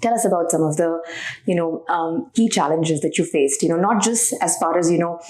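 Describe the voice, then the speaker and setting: A woman hosts an interview seated at a table in a studio room, her speech quick and her tone mellow. The recording carries a roomy echo around her voice. She keeps affirming her guest with quick interjections. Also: fairly low pitch. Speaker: host.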